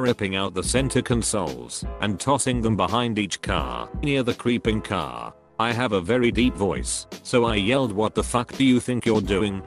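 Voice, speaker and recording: A man with a fairly low voice reads first-person stories aloud as a narrator. His delivery is steady and even, with soft music under it. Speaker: narrator reading online stories.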